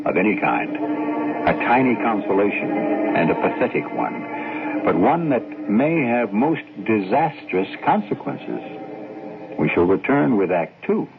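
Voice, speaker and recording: A man narrating an old-time radio mystery as host, with deliberate, dramatic, measured phrasing. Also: lowish voice, big pitch swings, gravelly, narrow, tinny audio, exaggerated voice. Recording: scratchy 1970s radio broadcast, loud music.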